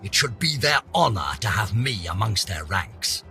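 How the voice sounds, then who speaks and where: A pompous British scientist, wildly animated. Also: male voice, lowish voice, moderate pace, vocal fry, soft background music, full character voice. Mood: indignant. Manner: pompous, haughty, florid, melodramatic. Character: pompous, vain, aging male scientist, indignant and obsessive. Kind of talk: theatrical game journal narration.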